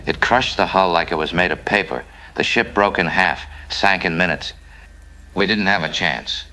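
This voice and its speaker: A man playing a bleak, shaken shipwreck survivor in an audio drama, speaking gravely in halting short phrases. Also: sits low, pushed and bright, poor audio. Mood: shaken, grim.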